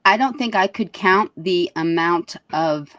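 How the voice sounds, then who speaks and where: A woman's voice: on the low side, measured pace, a bit bright, no echo. A woman speaking as a panel guest, conversational and often checking that listeners follow. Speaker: school board member, podcast guest.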